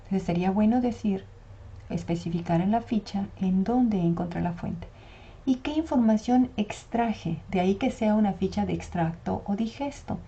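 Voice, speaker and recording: A woman teaching a university class, with a very dark, mellow voice. She works through her points methodically and asks questions that she then answers herself. The audio is dull and lo-fi. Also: medium pitch, normal speed. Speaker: university lecturer. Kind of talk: online lecture with slides.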